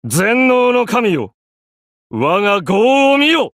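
A man's voice: sits high, sing-song, takes it slowly, a bit bright, no echo, clean studio sound, full character voice. An actor playing a proud, imperious young pharaoh and godlike sun king in an anime game, speaking in grandiose, archaic phrases. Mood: majestic, booming. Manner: pompous, grandiose, archaic speech.

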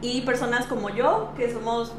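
A woman's voice: fairly high pitch, steady tone, moderate pace, a little hiss or hum. Brief: young woman from Mexico, podcast co-host, casual and self-correcting, echoey room. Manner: chatty, self-correcting, thinks aloud. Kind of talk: casual conversation.

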